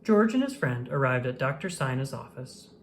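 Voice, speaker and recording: A person reading a children's storybook aloud in an expressive, animated way with dramatic emphasis. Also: mid-range voice, normal speed, a little hiss or hum. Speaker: children's book reader.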